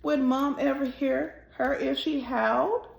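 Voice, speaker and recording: A woman hosting a children's read-aloud, speaking deliberately and directly to young listeners as she reads a storybook. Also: high voice, steady tone, creaky. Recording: roomy, echoing sound.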